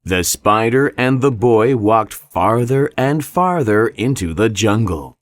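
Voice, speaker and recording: A man narrating a children's read-along story in a careful, expressive way. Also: lowish voice, slow pace, dry recording.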